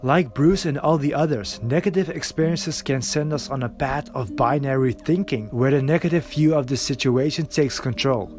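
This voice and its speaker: A man narrates a scripted video essay in an earnest, reflective tone. His voice sits at an ordinary middle pitch. Soft music plays faintly behind him. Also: normal speed, few pauses. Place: recorded alone.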